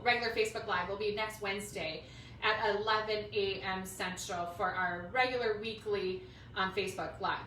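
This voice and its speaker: A woman's voice: mid-range voice, sharp tone. A middle-aged woman with a Minnesota accent chatting casually and informally as host of a craft livestream, rambling as she goes. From Minnesota, United States. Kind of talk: casual chat to a livestream audience. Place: indoors at home, roomy space. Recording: roomy, distant mic, faint noise.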